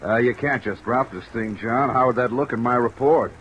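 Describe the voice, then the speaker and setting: A man's voice: mid-range voice, normal speed, lo-fi sound, slight background noise, lightly acted. An American man playing a plodding, hesitant and mildly fussy police lieutenant in a 1940s radio drama. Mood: placating. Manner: hesitant, bumbling, placating. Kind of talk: 1940s radio detective acting. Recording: old radio recording under steady rain sound.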